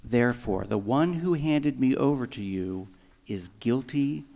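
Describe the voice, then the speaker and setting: Holy prisoner: mellow, calm, grave authority, mid pitch, long hall reverb. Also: male voice, gravelly. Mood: calm, solemn. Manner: measured, grave, unhurried. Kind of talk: dramatised scripture audio acting.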